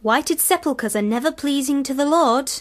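A woman's voice: sits high, normal speed, lightly acted. An actress in a radio play as a blunt, wry young woman with a dry wit.

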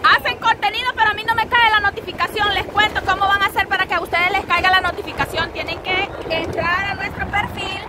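A woman's voice: very high-pitched, fairly even intonation, quick pace, few pauses, a loud crowd. A woman vlogging a family trip from the back of an open truck, chatting to her viewers on a handheld phone. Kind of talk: casual vlog narration to viewers. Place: open-sided truck on a country road. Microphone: handheld phone camera. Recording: loud wind and engine noise, voices around.

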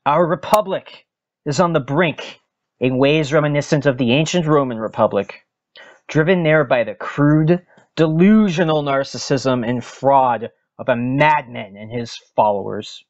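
A man hosting a podcast, giving a dramatic, solemn reading of an opinion article with emphatic, deliberate pauses. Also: medium pitch, muffled recording. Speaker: podcast host.

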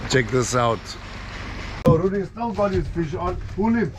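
A man's voice: high voice, very animated, measured pace. Older man coaching on a noisy boat. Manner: coaching, repeats short calls. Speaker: fishing guide filming the trip. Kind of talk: excited running commentary. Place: open boat at sea. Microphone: action camera on board. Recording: noisy boat and sea wind.

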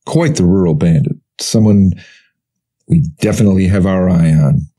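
An actor playing a deceitfully tame male detective sergeant, measured, formal and probing, in a gritty audiobook drama. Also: deep voice, warm tone. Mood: calm, probing.

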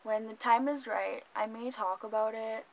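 A young adult woman chatting casually to camera from her bedroom, with soft laughs and fillers. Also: on the high side, steady tone, normal speed, creaky, rough recording. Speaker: vlogger. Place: small bedroom, indoors.

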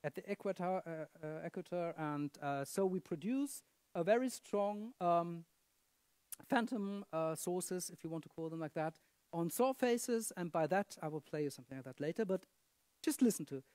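A woman giving a conference lecture, halting and filling pauses with "uh" and "um", often checking in with the audience with a quick "yeah?". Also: lowish voice, darkish tone, dry recording. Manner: halting, many fillers, asks the audience "yeah?".

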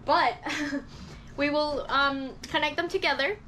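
A woman's voice: high-pitched, steady tone, slow, bright, forceful tone, slight background noise, low-quality recording. Chatty woman vlogging on a boat. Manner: chatty, self-doubting, explains with hand gestures. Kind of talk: casual vlog explanation. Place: cramped boat cabin, indoors. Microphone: handheld camera at arm's length.

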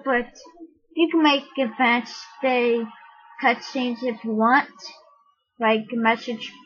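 A tutorial host casually narrating software steps in short, halting fragments. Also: medium pitch, slight background noise. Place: voice-over for a screen recording. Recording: dull, muffled lo-fi home recording.

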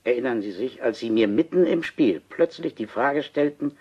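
An actor playing a thoughtful, earnest older gentleman, speaking deliberately in a German radio play. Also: medium pitch, lively intonation, slightly rough, lo-fi sound. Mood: insistent. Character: thoughtful older wine connoisseur, earnest chess partner.

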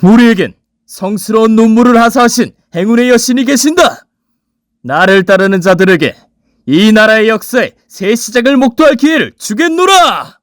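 A man playing an ambitious, ruthless prince turned king, with grandiose archaic royal speech in a dark fairy-tale webtoon. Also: high-pitched, animated, normal speed, no room sound, studio quality. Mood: proud, proclaiming.

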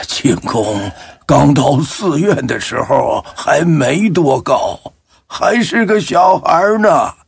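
A man plays an old village Buddhist in an audio drama. His voice is very raspy and creaky, and he recalls things slowly with firm certainty. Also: mid-range voice, no echo, clean studio sound, heavily acted. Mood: firm, recalling. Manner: emphatic, unhurried storytelling. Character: certain, plain-spoken elderly lay Buddhist villager.

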